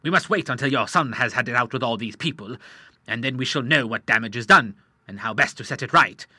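Brief: calm fatherly doctor, male narrator's own voice, bright and dry. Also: mid-range voice, brisk, breathy edge, no echo. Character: kindly, steady middle-aged male doctor.

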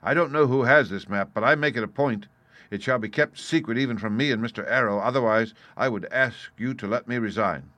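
Man voicing a blunt, forceful sea captain. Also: mid-range voice, a little fast, dry recording, voice pushed up, close to an everyday voice. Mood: earnest, forceful. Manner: forceful, clipped, plain-spoken. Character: blunt, stern, uneasy ship's captain, a man. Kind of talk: audiobook character voice.

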